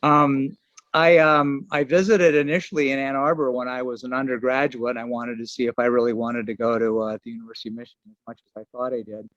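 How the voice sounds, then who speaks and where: A man in his sixties giving memorial remarks over a remote video call, halting and often restarting his sentences. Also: mid-range voice, level tone, a bit bright, dry recording. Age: 60s. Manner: halting, searching for words with many restarts. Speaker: archaeology and geography professor giving a memorial tribute. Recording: dull lo-fi call audio.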